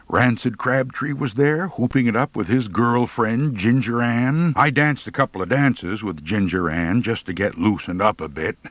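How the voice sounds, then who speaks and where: A man's voice: on the low side, dry recording. A man narrating a humorous first-person story as an audiobook reader, with a dry, theatrical, mock-pompous delivery.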